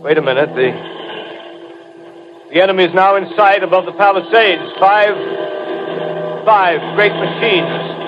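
An actor playing a solemn, doomed radio reporter in a 1930s radio drama, speaking haltingly with dramatic pauses. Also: male voice, high-pitched, noisy background. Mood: mounting dread. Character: grave, doomed male radio announcer reporting an invasion. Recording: muffled 1930s radio broadcast, music behind.

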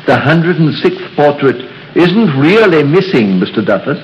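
Solemn church elder, slightly high voice; dull old radio sound. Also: male voice, very animated, a little hiss or hum. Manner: slow, solemn, measured storytelling. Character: grave local church elder telling legends. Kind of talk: 1930s radio drama acting.

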